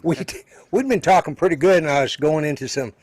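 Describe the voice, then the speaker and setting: A hoarse man in his sixties, co-hosting a live show and rambling along with self-deprecating jokes. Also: fairly high pitch, fairly quick, darkish tone. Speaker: co-host. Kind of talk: casual live-stream chat.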